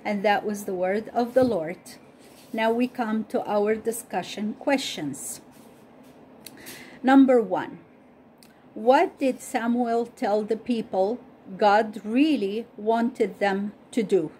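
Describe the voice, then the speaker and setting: A woman whose first language is Arabic, slowly and carefully reading a Bible story aloud and repeating study questions as host. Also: mid-range voice, warm tone, slight background noise.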